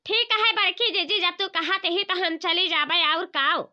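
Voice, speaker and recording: A woman's voice: very high voice, moderate pace, pushed and bright, lo-fi sound, heavily acted. A woman voicing a nosy, sly village woman in a comedy cartoon, gossipy and full of questions and exclamations, with an Awadhi accent. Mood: nosy, insistent. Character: nosy, sly, squeaky village women neighbours.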